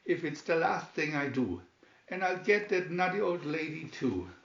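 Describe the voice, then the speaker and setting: A man's voice: on the high side, expressive, moderate pace, creaky, fairly bright tone, voice pushed up. A man voicing a scheming, blustering male tycoon villain from a storybook, with a commanding manner. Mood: raving, vengeful. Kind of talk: storybook character reading. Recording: dull, echoey room recording.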